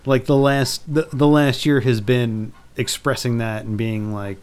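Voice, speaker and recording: A man in his early forties, a podcast co-host, talking in casual chat in a halting, hesitant way full of restarts. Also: medium pitch, fairly even intonation, darkish tone, smooth. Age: 40s.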